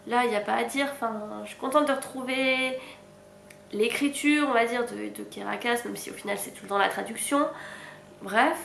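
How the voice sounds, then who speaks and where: A woman's voice: a little high, moderate pace, vocal fry, fairly bright tone, echoey room, slight background noise. Chatty young woman with a cold. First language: French. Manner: chatty, frank, with sighs and little laughs. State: sick with a stuffy nose. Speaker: book vlogger. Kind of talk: casual reading update.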